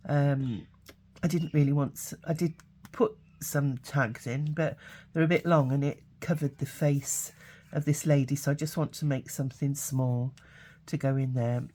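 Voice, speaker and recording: A woman with a cold and a hoarse voice, chatting casually through a craft project with frequent ums and uhs. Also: sits low, steady tone, dark tone. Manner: chatty, halting, many fillers. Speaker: craft channel host.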